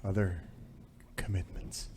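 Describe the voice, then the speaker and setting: A man playing an earnest, bashful cowboy leader in a parody radio serial, halting and courtly. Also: sits low, little pitch movement, warm tone, breathy edge, heavily acted. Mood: bashful, dismayed. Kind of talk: parody old-time radio serial acting.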